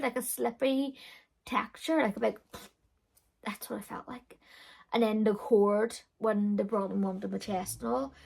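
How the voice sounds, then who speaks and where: A young woman recounts her own story in a loose, chatty vlog manner, peppering it with fillers. Her voice is loud, with a gravelly, creaky edge running under mid-range pitch. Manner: chatty, frank, with frequent fillers and laughs. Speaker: beauty vlogger. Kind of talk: casual storytelling.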